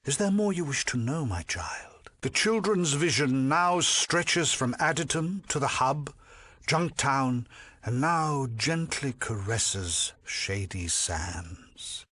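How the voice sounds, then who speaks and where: Sinister cult priest, theatrically swooping. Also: male voice, mid-range voice, pushed and bright, husky, no room sound, heavily acted. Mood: pious, lofty. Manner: condescending, pompous, measured, drops into menacing murmurs. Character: sinister, haughty cult priest, silky menace under false piety. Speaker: video game villain. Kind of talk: theatrical video game voice acting.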